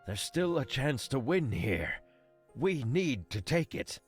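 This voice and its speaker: A man voicing a weary, remorseful creature who was once a soldier, pleading gravely in a visual novel. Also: mid-range voice, big pitch swings, slow, soft background music, voice pushed up, heavily acted.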